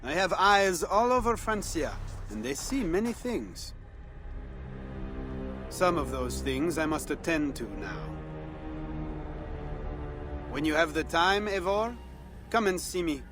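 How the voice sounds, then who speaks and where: A smug, pleasure-loving spymaster in a video game. The voice sits a little high for a man, theatrical and self-assured, over prominent music. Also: animated, a little slow. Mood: smug, knowing. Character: worldly, hedonistic Frankish male spymaster, smug and flamboyant. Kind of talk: video game dialogue acting.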